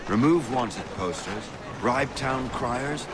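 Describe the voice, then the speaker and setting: An actor playing an affable, wry colonial rebel mentor who explains things in a video game cutscene. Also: male voice, medium pitch, animated, takes it slowly, a crowd in the background. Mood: explaining. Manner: wry, instructive.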